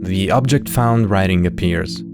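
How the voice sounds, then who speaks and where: Man, low voice, scripted commentary, casual wry host, music playing behind. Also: measured pace. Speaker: host of a horror series breakdown video.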